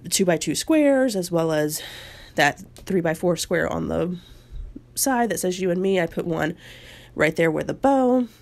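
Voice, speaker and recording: A woman in her thirties, slightly hoarse from a cold, narrating a scrapbooking process video in a chatty, personal way. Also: on the low side, a little mellow. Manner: chatty and personal, with frequent fillers and restarts. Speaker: scrapbooking channel host.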